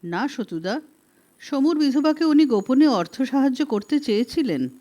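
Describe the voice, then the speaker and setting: A woman voicing a grave, devoted woman in an audiobook, speaking softly and persuasively to an old friend. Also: high-pitched, animated, normal speed. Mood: defensive. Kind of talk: audiobook character reading.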